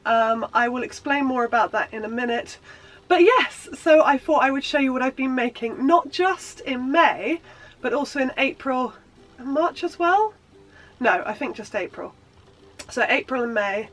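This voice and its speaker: A woman's voice: high-pitched, lively intonation, normal speed, a bit bright, low-quality recording. A woman sewing vlogger with a blocked nose from a cold, chatting in a bubbly, rambling way with lots of ums. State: congested from a cold. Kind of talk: casual chatty show-and-tell.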